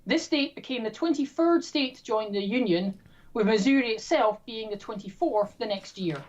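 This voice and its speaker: A woman hosting a trivia quiz, reading questions in a brisk, playful, self-mocking way. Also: fairly high pitch, a little mellow, dull audio. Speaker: quiz host.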